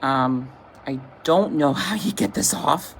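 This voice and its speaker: A woman giving a casual, informal unboxing demonstration as a product reviewer, speaking haltingly with vague, everyday wording. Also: sits low, vocal fry, slight background noise.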